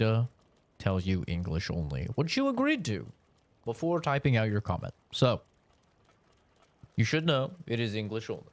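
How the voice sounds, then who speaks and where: A man's voice: mid-range voice, no room sound. A young man chatting casually while streaming a game, rambling and swearing in a laid-back way. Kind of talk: casual live-stream chat while gaming.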